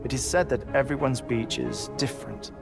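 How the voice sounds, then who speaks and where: An actor playing a calm, measured, dryly wry man who dies every twenty-one minutes, in a video game cutscene. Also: mid-range voice, vocal fry, lightly acted. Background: game soundtrack music.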